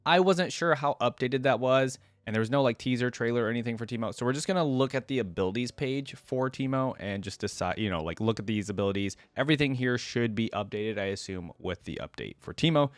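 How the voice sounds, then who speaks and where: A man doing casual reaction commentary as a video host, reading aloud and thinking out loud in a conversational way. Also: mid-range voice, fast talker, few pauses, no echo.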